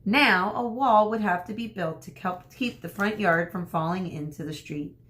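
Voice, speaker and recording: A woman speaking as an elementary school teacher, reading a story aloud to children and pausing to explain things. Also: mid-range voice, a bit bright. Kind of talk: read-aloud lesson for children.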